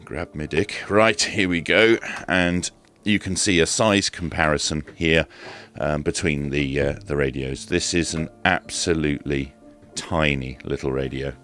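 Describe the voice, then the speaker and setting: A man's voice: extremely low pitch, fairly even intonation, unhurried, few pauses, a bit bright, soft background music. A British man giving casual, informal hands-on commentary as he presents a product review. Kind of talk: casual unboxing and demonstration commentary.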